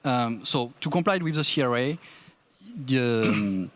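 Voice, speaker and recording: A man's voice: mid-range voice, expressive, measured pace, a bit bright. A man presenting at a tech conference, often restarting and repeating words. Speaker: conference speaker.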